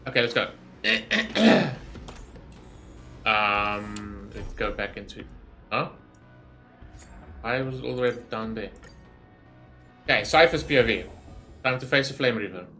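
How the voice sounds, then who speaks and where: A man's voice: fairly low pitch, lively intonation, slow, long pauses, pushed and bright, soft background music. A man giving casual, rambling game reaction commentary in an informal way, as a gaming YouTuber.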